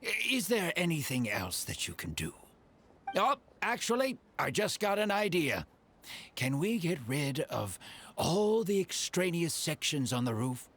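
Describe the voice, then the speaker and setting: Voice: medium pitch, big pitch swings, measured pace, a bit bright. A voice actor playing a hard-to-please client in a video game, polite and hesitant while asking for changes. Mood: fussy. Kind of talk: game voice acting.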